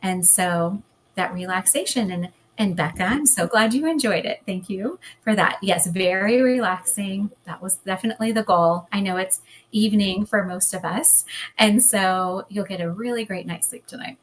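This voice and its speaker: A woman, a hypnotherapist, leading a guided hypnosis and then chatting as a guest in a calm, gentle way. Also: medium pitch, moderate pace, a little hiss or hum. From United States. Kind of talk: guided hypnosis then casual interview chat.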